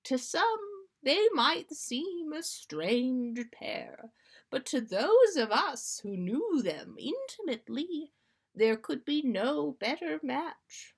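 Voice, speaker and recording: Woman playing a slow, grand wedding officiant. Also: sits high, sing-song, pitched above the performer's own voice, full character voice. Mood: solemn pride. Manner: ceremonial, drawn-out, grand. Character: solemn, joyful wedding officiant at a whimsical ceremony. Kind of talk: storybook reading.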